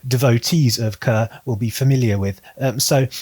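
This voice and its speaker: A man's voice: medium pitch, normal speed, a little mellow, slightly rough. A man talking to viewers as a book vlogger, in a chatty, polite, presented style.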